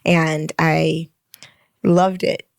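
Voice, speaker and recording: A woman in her late twenties talks through her engineering career as a podcast guest, explaining things patiently and laughing easily. Her voice sits low with a gravelly fry, and the recording is close and dry. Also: level tone, very slow, no echo. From Michigan, United States. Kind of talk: conversational interview.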